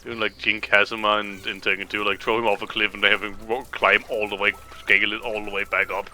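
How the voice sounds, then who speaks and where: A man's voice: fairly low pitch, level tone, fast, pushed and bright, a little hiss or hum. A man chatting casually as he comments on a video game, rambling and repeating words as he explains, with game music behind him. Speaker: co-host commenting on a game playthrough. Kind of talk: casual gaming chat.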